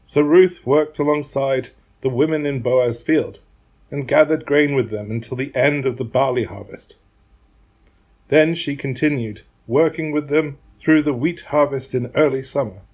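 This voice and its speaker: A man's voice: mid-range voice, a little slow, darkish tone. A man narrating a dramatised Bible reading from a script.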